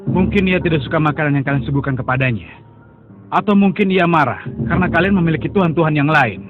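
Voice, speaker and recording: A man's voice: on the high side, fast talker, phone-quality sound, over music, natural voice. A man voicing a firm, reproachful young prophet. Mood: ironic. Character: Ibrahim, a steadfast young prophet. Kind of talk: dubbed cartoon acting.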